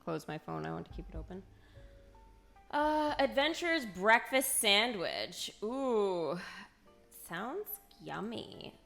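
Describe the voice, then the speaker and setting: A woman's voice: mid-range voice, big pitch swings, slow, gravelly, fairly bright tone, faint music behind. A woman streaming a video game, chatting casually and playfully as she reacts aloud and banters with viewers. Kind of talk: casual livestream chat over gameplay.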